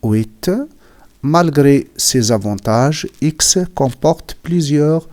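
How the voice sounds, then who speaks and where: A man explains a writing lesson at a very unhurried pace, pausing between short phrases. He has a cold and clears his throat now and then. His voice sits at an ordinary middle pitch. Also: a little mellow. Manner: repeats and defines words, pauses often. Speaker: French writing teacher. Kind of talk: slow classroom explanation.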